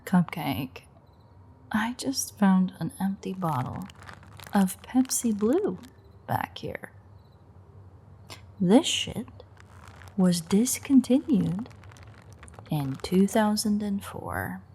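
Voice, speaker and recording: A woman playing a sardonic, teasing female vampire mechanic in an audio roleplay, with dry sarcasm and a husky, gravelly, breathy delivery. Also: mid-range voice, lively intonation, drawn-out words, long pauses, dark tone, a little hiss or hum. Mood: playful sarcastic. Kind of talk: audio roleplay acting, intimate one-sided dialogue.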